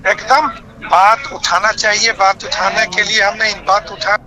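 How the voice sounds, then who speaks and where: A man's voice: sits high, steady tone, unhurried, noisy background. A middle-aged male professor with a Bihari accent, giving advice emphatically and repeating his phrases.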